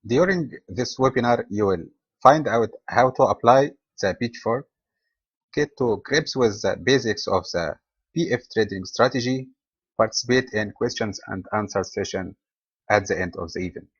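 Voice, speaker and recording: A man from Egypt presenting a trading webinar as host, delivering a steady scripted lecture with non-native phrasing. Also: lowish voice, moderate pace, breathy edge, dry recording, muffled recording.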